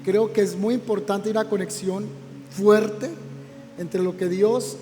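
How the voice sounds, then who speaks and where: A man's voice: high voice, fairly even intonation, slow, warm tone, long reverb tail, soft background music. A man, a pastor, interviewing a guest in a church program with an encouraging pastoral manner.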